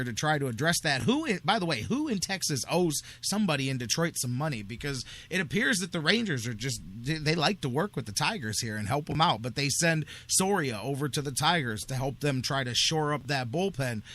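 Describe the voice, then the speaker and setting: A man's voice: on the high side, fairly bright tone, dry recording. A man hosting a live sports talk radio show in a conversational, opinionated way.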